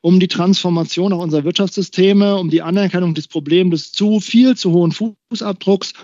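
A man's voice: high voice, brisk, mellow, no room sound, highs cut off. A man, a species protection expert, listing points fluently in an interview soundbite.

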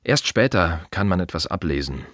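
Casting: a brooding, sardonic gravedigger, low voice with lively swings, clipped musing delivery, very dry close radio-play sound. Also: male voice, normal speed, dry recording. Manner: wry, clipped fragments with pointed repetitions. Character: wry, brooding male gravedigger musing on the dead. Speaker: actor in a radio play monologue. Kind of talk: radio drama inner monologue.

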